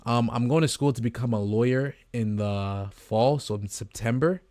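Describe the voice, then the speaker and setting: A man's voice: medium pitch, normal speed, a little mellow, no room sound. Earnest rambling young man, dry sound. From Canada. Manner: rambling, earnest, many fillers and restarts. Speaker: vlogger, agency co-founder. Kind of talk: casual talking-head vlog.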